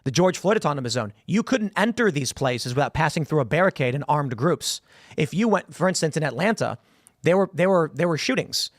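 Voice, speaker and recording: A man in his thirties hosting a political talk show in a studio, telling stories animatedly with frequent restarts, on a boom-arm studio mic. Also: fairly quick, no echo. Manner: animated storytelling with many restarts and gestures. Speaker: podcast host. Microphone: studio mic on boom arm, headphones.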